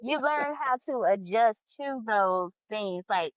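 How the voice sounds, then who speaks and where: Woman podcast co-host, kinda high voice, questions and pushes back, dry but slightly muffled. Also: animated, takes it slowly, no room sound. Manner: challenging, questioning. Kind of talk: casual relationship debate chat.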